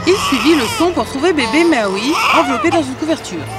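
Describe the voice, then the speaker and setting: High-voiced, animated woman storyteller. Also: fairly quick. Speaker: narrator. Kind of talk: scripted fairy-tale narration. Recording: music behind the voice.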